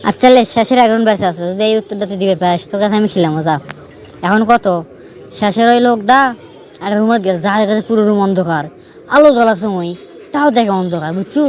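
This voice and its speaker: A man's voice: sits high, fast, natural voice. A man with a northern Bangladeshi accent playing a teasing young riddler in an amateur cartoon, explaining things to his friend. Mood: mocking, explaining. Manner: teasing, explanatory, keeps checking the listener follows. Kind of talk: amateur cartoon dialogue, storytelling.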